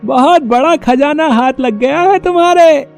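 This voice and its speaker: High, creaky, mocking fisherman. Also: soft background music, full character voice. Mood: gleefully sarcastic. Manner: mocking, drawn-out sneering. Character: taunting, sarcastic younger male fisherman in a cartoon.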